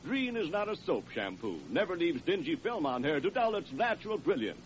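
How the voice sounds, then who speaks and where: Animated man in an old radio ad. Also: fairly high pitch, brisk. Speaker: commercial announcer. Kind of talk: 1940s scripted radio commercial, playful schoolteacher patter. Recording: muffled, faintly noisy old radio broadcast.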